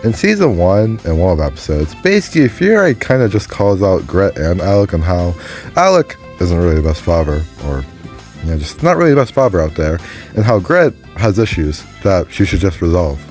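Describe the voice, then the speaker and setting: A young man talking casually into a phone, reviewing a cartoon episode in a monotone, hesitant way with many fillers. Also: deep voice, a little fast, faint music behind. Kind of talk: casual voice-over commentary.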